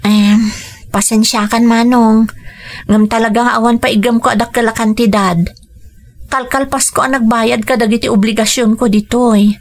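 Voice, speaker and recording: A woman's voice: fairly high pitch, level tone, normal speed, close to an everyday voice. A woman playing a weary, dutiful younger sister working abroad in a radio drama, earnest and plaintive, with an Ilocano accent. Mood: hesitant, apologetic.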